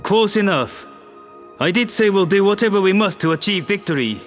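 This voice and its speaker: A composed male world leader lays out his case in a measured, persuasive manner, as a man reads him in an audio drama. His voice sits fairly high, and faint music plays underneath. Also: expressive. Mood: firm. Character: calm firm human male world leader.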